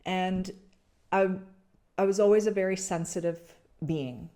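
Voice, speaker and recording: A woman from Canada speaks in a low, unhurried voice, feeling her way through each thought. She restarts phrases and fills pauses as she reflects aloud to her podcast listeners. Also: warm tone. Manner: slow, searching, many restarts and fillers. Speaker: podcast host. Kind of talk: reflective spiritual talk to listeners.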